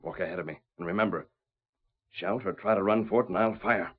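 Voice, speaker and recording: A stern masked gunman gives clipped, threatening orders in a low voice. It is old radio western acting on a tinny, band-limited vintage recording. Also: fairly quick, breathy edge. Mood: cool menace.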